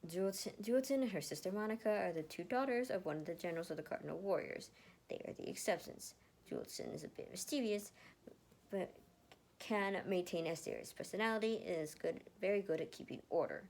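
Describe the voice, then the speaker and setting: An aloof young warrior man, put on by a woman reading a story, talks in a monotone. He is curt and scornful. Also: mid-range voice, brisk, lightly acted.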